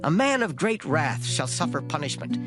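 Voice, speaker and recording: A man giving a dramatized Bible reading in a solemn, measured, declamatory manner. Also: fairly high pitch, sing-song. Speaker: scripture reader. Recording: plucked guitar music behind voice. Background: plucked string music.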